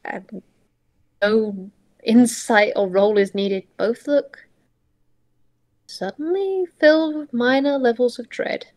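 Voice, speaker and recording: A woman reads out tabletop roleplay prose as narrator. Her voice is fairly high and very slow, moving forward in halting, dramatic phrases. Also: a little mellow. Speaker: roleplay player and reader.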